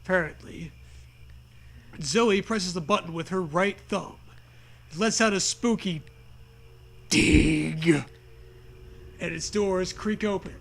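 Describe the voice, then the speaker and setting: A man reading a comedy story aloud with deliberate, mock-dramatic narration and bits of banter. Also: high-pitched, gravelly.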